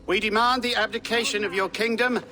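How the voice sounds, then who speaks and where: A stern, ceremonious lord of the Middle Ages in a game cutscene. He declaims in a high, forceful voice over faint music. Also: fairly even intonation, a little fast, big-room sound. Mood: stern, formal. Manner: formal, declamatory. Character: solemn, formal medieval lord. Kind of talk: video game cutscene acting.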